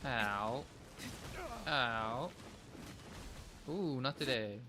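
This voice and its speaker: A man's voice: medium pitch, very slow. A man doing casual livestream gameplay chat, thinking aloud with playful, childish wording. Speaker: game streamer. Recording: faint background noise.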